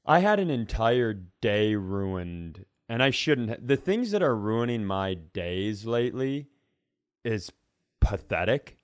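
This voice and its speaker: A man's voice: fairly low pitch, sing-song, slow, dry recording, studio quality. A man telling stories casually on a comedy podcast, rambling and self-mocking, with loose, sweary delivery.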